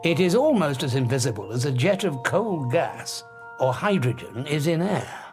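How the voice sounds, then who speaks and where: An actor playing an obsessive, grandiose scientist in an audiobook drama, arrogant and emphatic, lecturing with dramatic pauses. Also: male voice, medium pitch, big pitch swings, over music, natural voice. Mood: intense explaining.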